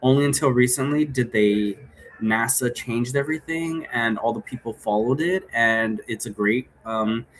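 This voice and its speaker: A man's voice: medium pitch, normal speed, fairly bright tone. A man giving a casual, unscripted explanation as a presenter, rambling loosely from one aside to the next. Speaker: presenter explaining an occult role-play game. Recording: clearly noisy room recording.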